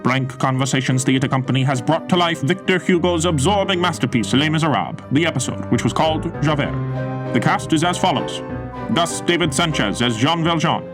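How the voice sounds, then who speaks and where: A man's voice: fairly high pitch, fairly quick. A male announcer reading a scripted, polished closing announcement for a radio theatre broadcast. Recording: music playing behind.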